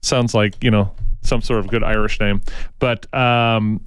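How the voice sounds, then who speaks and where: A male talk radio host chats loosely through his show, circling back with fillers and false starts. His voice is smooth and resonant, and it sits close and dry on a studio mic. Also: on the low side, steady tone, a little slow, no echo. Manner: chatty, rambling, many fillers and restarts. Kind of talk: casual talk radio chat. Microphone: studio microphone.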